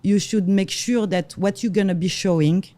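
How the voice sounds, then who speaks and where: A woman's voice: medium pitch, normal speed. A middle-aged woman from Morocco, a luxury real estate broker, telling stories in a casual interview and acting out the dialogue with frequent laughs. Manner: animated, acts out quoted dialogue, laughs often.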